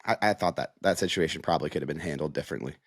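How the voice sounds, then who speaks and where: A man's voice: rumbling low, rapid-fire, no echo. An American man giving casual, conversational sports analysis as a reporter, with frequent filler phrases like "you know".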